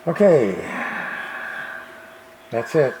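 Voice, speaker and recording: A man giving a casual, off-the-cuff demonstration as a radio repair hobbyist, halting and folksy in a plain-spoken way. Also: mid-range voice, very animated. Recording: clear background radio noise and hiss. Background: radio noise.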